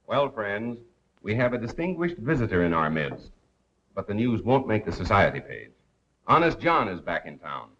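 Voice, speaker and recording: Polished 1930s radio crime commentator, dull soundtrack. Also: male voice, on the low side, sing-song, a bit bright, a little hiss or hum. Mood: wry, newsy. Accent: American. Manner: polished, measured announcer delivery. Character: suave, wry male radio crime commentator and amateur sleuth. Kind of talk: 1930s American film acting as a radio newscaster.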